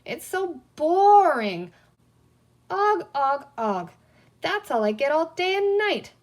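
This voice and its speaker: A woman's voice: high voice, very animated, slow, pitched above the performer's own voice, exaggerated voice. A woman voicing a small, comical green man in a children's story, boastful and theatrical, playing at gangsters and pirates. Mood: grumbling. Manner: theatrical, exclamatory.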